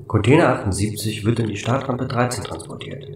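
A man voicing a calm, polite station computer in a German radio play, measured, formal and matter-of-fact. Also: mid-range voice, natural voice. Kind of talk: radio drama acting. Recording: roomy, distant, faint noise.

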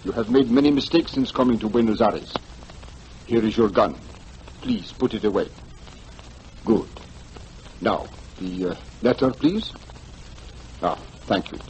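An actor playing a polite, measured gentleman schemer with deliberate pauses, in 1940s radio style. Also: lowish voice, lo-fi sound, lightly acted. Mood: calm, polite. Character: courteous, measured South American gentleman schemer. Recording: noisy old 1940s radio recording.

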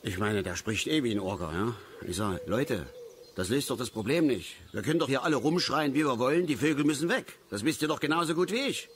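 A man's voice: mid-range voice, animated, a little fast, slight background noise, close to an everyday voice. An actor playing an embittered, touchy middle-aged male angler who rambles and grumbles irritably in a radio play. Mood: aggrieved. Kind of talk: German radio play acting.

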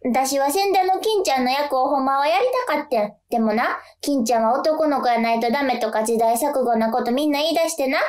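A woman's voice: high voice, a little fast, hardly any pauses, darkish tone, close to an everyday voice. A woman playing a cheeky, stagestruck young schoolgirl with a pushy, childlike manner and a Kansai accent in a radio drama. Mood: sulky disappointment.

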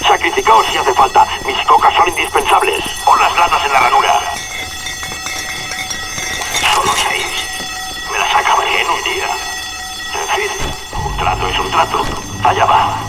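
A man's voice: fairly high pitch, normal speed. A man playing a blunt, self-interested gun shop owner in a video game. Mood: grudging. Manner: blunt, demanding, deadpan. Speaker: video game non-player character. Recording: very noisy, radio-like game audio.

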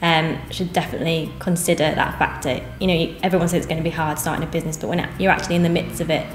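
A woman's voice: sits low, level tone, racing, roomy sound, faint music behind. A woman in her twenties, a bakery co-owner, answering interview questions in an earnest, plain way with many ums. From England. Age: 20s. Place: cafe interior, seated on sofa.

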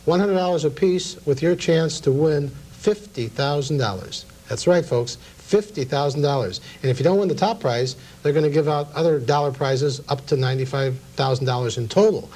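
Local TV host: middle-aged man, slightly high voice. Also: normal speed, few pauses, darkish tone. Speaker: talk show host. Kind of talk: local cable talk show hosting. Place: small TV studio at a table.

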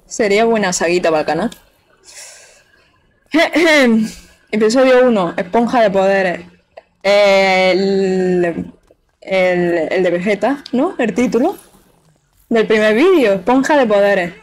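A woman's voice: medium pitch, slow pace, pauses often. Chatty woman streaming a game. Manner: chatty, playful, talks to chat and the game. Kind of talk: casual live gameplay commentary.

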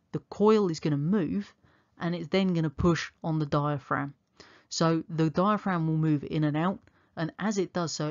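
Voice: medium pitch, highs cut off. A teacher narrating a revision lesson, explaining things step by step. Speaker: science teacher. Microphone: dynamic microphone.

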